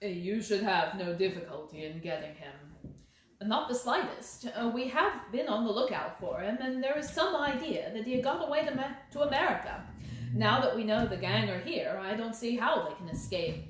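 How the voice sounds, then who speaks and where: A woman voicing a brisk, talkative, deferential male police inspector in a detective storybook. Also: fairly high pitch, heavy reverb, slight background noise, lightly acted. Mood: brisk, confident.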